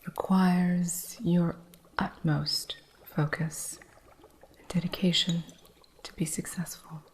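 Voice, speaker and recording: A woman voicing a stern, impatient witch who runs a curio shop, in a soft whispered ASMR roleplay with a strict, haughty manner. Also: fairly low pitch, steady tone. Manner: strict, haughty, slow and deliberate.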